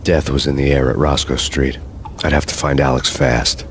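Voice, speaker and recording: An actor playing a grim, terse undercover cop narrating a noir crime game in deadpan style. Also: male voice, a bass voice, moderate pace, background noise. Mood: grim, brooding. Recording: loud music behind the voice.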